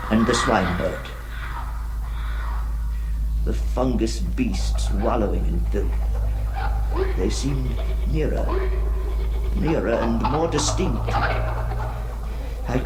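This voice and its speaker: A man haunted by what he tells, in an old-style horror radio play. His mid-pitched voice is dramatic and halting, repeating words in dread. A light layer of noise and ambience sits behind him. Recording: noisy recording with background ambience.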